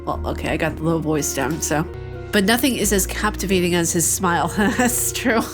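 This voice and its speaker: A woman's voice: on the low side, animated, moderate pace. A woman hosting a let's play, reading visual novel narration aloud and breaking off into playful, joking asides. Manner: playful, joking asides between narrated passages, frequent laughs. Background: game music.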